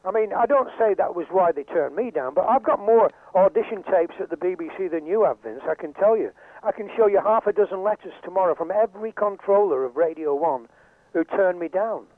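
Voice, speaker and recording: An older man, a veteran radio DJ, telling his career story in lively, chatty showman patter. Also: sits high, brisk, dry recording. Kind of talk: casual interview storytelling. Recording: dry tinny phone line.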